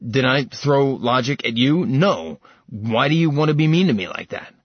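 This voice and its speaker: A man's voice: mid-range voice, expressive, moderate pace, husky, no echo, lo-fi sound. A man voicing a wry, sardonic dragon shapeshifter who teases dryly. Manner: dry, teasing.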